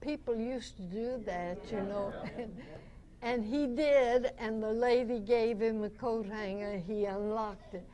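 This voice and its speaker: A woman in her nineties, a guest reminiscing in an unhurried, anecdotal way before a club audience. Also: on the high side, mellow. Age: 90s. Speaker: guest, veteran radio actress and singer. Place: club meeting room with audience. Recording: noisy live meeting-room recording.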